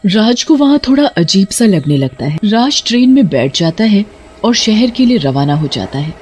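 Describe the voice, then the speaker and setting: A storyteller narrating a horror tale from a script. The voice sits at a medium pitch and delivers descriptive third-person narration. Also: sing-song, normal speed, darkish tone, a little hiss or hum.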